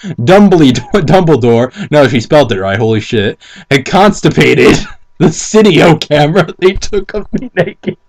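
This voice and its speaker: Animated, high-voiced man reading mockingly. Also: measured pace. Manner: incredulous, sweary, theatrical, breaking into laughter. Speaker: amateur commentator reading fan fiction aloud. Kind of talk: mock dramatic reading with casual commentary.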